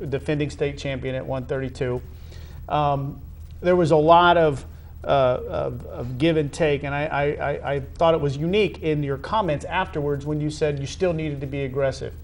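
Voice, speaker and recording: Man hosting a halting sports interview. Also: fairly high pitch, steady tone. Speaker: interview host. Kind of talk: sports interview, conversational questioning.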